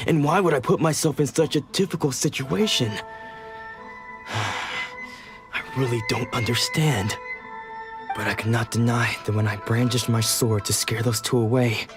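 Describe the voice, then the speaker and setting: A man's voice: medium pitch, close to an everyday voice. An actor playing a weary man who lost his memories, speaking in a brooding, melancholic way. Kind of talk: video game voice acting. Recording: game score behind.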